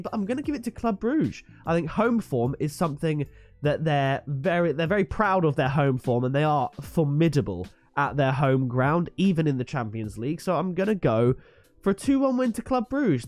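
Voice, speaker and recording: A man's voice: on the high side, darkish tone. A man with a stuffy nose from a cold, chatting confidently through his football predictions as the host of his own channel. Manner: chatty, confident, hypes the fixtures. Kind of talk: solo vlog match predictions.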